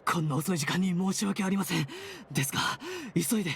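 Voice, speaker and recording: A man's voice: mid-range voice, moderate pace, close to an everyday voice. A man voicing a polite, anxious young subordinate in an anime game, deferential and formal as he reports. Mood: anxious, apologetic.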